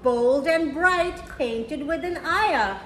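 A woman with a high voice reads to young children as a storytime teacher. She speaks slowly and simply, with an encouraging manner. The recording is echoey, as in a roomy space. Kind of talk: read-aloud of a picture book for children. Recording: roomy echo with faint background noise.

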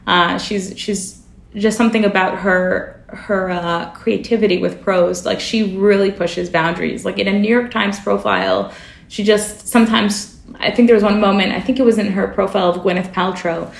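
A woman journalist whose first language is Russian speaks thoughtfully as an interview guest, pausing on fillers and correcting herself mid-sentence. Her voice has a gravelly edge, and the recording carries a roomy echo. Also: mid-range voice, steady tone. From family from the former Soviet Union. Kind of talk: reflective interview conversation.

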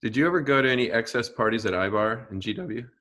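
A man's voice: mid-range voice, lively intonation, fast, fairly bright tone. A man hosting a podcast, asking chatty, casual interview questions. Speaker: podcast host.

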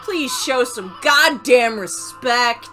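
A woman's voice: a little high, lively intonation, slow pace, sharp tone. A woman chatting casually while playing a video game, playful and profane. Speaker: gameplay co-commentator playing the game. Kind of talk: casual joking banter over a video game. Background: video game music.

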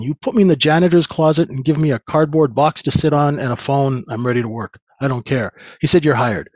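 A man in his fifties who grew up in Canada, telling his life story as an interview guest in a relaxed, rambling way with many fillers. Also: a little high, no echo. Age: 50s. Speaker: trader guest. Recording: dull lo-fi dry sound.

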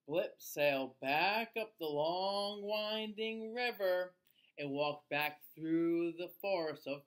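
A man in his thirties reading a picture book aloud to children like a teacher, with an exaggerated, drawn-out delivery. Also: high voice, a bit bright, smooth. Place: small indoor room, seated facing camera.

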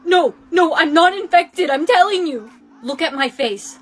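A woman's voice: high voice, expressive, moderate pace, fairly bright tone. A woman playing a frightened, pleading schoolgirl in a melodramatic skit, with an anxious and emotional delivery. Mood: panicked, pleading. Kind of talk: melodramatic short skit acting.

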